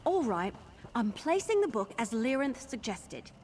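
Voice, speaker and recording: A woman's voice: a little high, very animated, unhurried, darkish tone, slight background noise. A woman voicing an earnest, eager young adventurer in a fantasy video game, speaking in a candid, informal way. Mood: excited. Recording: music behind the voice.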